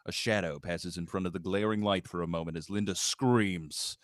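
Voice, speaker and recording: Casting note: male reader for a screenplay table read, lowish pitch, informal delivery, very dry recording. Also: steady tone, normal speed, no echo. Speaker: host, writer and stage-direction reader of a live table read. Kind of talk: casual introductions and scripted screenplay narration.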